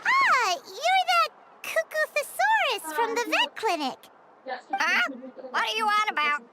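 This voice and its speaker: A woman's voice: very high voice, very animated, unhurried, full character voice. A woman voicing a tiny, curious and blunt fairy girl companion in a video game, childlike and exclamatory. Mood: puzzled. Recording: loud background noise.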